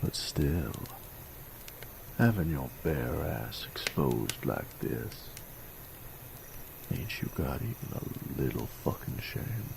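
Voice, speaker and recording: A man playing a cocky, sadistic bandit in an audio roleplay, with a gruff, teasing drawl. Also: sing-song, slow, pauses often, noisy background, full character voice. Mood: teasing, menacing. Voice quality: gravelly, very deep drawl. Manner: drawling, teasing, folksy, crude. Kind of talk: intimate audio roleplay drama.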